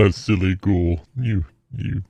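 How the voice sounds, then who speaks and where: A man voicing a simple, gruff ghoul in a tabletop game, husky and halting, speaking of himself in the third person. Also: low-pitched, fairly even intonation, mellow, dry recording, exaggerated voice. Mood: slow, simple. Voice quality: gravelly, husky. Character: slow, simple, gruff ghoul called Morg, homebody. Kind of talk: tabletop roleplay.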